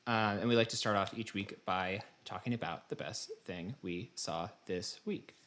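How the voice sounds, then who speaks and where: A man's voice: on the low side, normal speed, fairly bright tone, a little hiss or hum. A man chatting casually as the co-host of a podcast.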